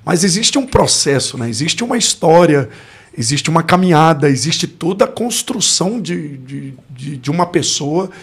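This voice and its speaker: Brazilian pastor guy, 40s, gravelly and kinda husky, a bit high, thinks out loud a lot. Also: very animated, normal speed. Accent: Brazilian Portuguese. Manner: reflective, searching for words, tags lines with "né?". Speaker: pastor and guest. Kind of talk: personal life story in a podcast interview.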